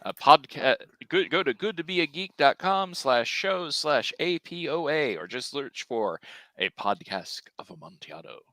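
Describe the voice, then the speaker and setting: Bright, animated man. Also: a little high, moderate pace, dry recording. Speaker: podcaster and panelist. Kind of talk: casual chat.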